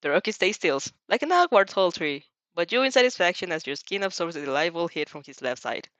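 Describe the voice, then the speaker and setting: A woman reading a story aloud in an expressive, amused way, sometimes stumbling and rereading phrases. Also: lowish voice, fast talker, no room sound, lo-fi sound. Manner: giggly, stumbles over phrases and rereads them. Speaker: fan fiction reader reacting as she reads.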